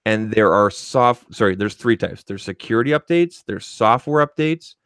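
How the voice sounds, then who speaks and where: A man with a fairly low voice talks on a tech podcast, firm and opinionated, stressing his points with emphasis. The sound is close and dry, with no room around it. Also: expressive, normal speed, a bit bright, clean tone, no room sound. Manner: emphatic, opinionated, says okay a lot. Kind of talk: casual podcast chat. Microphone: studio microphone.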